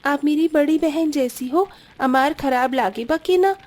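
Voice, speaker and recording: A high, sweet cartoon voice for an earnest young Bengali daughter-in-law, put on by a woman. She speaks politely with drawn-out words and a Bengali accent, yet holds her own. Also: darkish tone.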